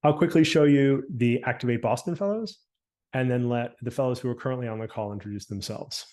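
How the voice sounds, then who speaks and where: A man from the program staff presenting slides on a video call, listing names at a steady clip. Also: medium pitch. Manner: brisk listing, slowing on names.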